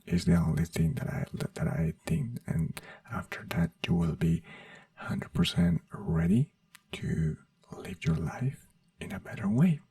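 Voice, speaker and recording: A man whispering slowly and gently in an ASMR roleplay as a neurologist, with halting, simple phrasing. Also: deep voice, big pitch swings, no echo, far from the mic. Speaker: ASMR creator playing a neurologist.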